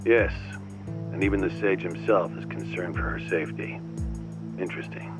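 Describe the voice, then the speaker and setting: A man playing a controlling intelligence handler in a video game, stern and condescending with deliberate phrasing. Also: low voice, music in the background, close to an everyday voice. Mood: cool, threatening. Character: controlling, coolly menacing male intelligence handler. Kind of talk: dramatic game cutscene acting.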